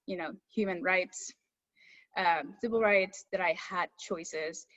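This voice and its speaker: A woman's voice: lowish voice, takes it slowly, a bit bright, rough recording. A woman from Cuba, a native Spanish speaker, answering as an interview guest in a candid, conversational way. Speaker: astrophysicist guest.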